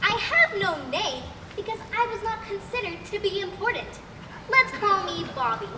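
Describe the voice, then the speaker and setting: A woman's voice: very high voice, sing-song, normal speed. A young woman playing an earnest, assertive assistant in a stage comedy, with a precise, dramatic delivery. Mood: grave, earnest. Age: young adult. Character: earnest, assertive, overlooked scientist's assistant in radio play. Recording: echoey, noisy stage, distant mic.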